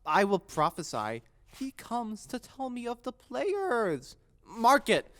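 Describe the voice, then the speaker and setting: Woman playing a mercurial young prince, slow, swooping delivery. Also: on the high side, big pitch swings. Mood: sly, mocking. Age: young adult. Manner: theatrical, mercurial, sardonic. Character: Hamlet, witty, mocking young prince feigning madness. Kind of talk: Shakespearean audio drama acting.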